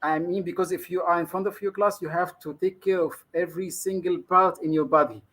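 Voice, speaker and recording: A man's voice: high voice, steady tone, a little fast, fairly bright tone. A man from Egypt, a native Arabic speaker with an Egyptian accent, talking as a guest trainer in a casual live interview and often adding "you see". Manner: chatty, keeps adding "you see", laughs easily.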